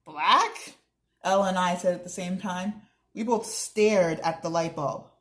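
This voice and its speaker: A woman's voice: on the low side, fairly even intonation, normal speed, darkish tone. A young woman reading a children's book aloud, expressively, with chatty asides to her listeners. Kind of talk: read-aloud narration with casual asides.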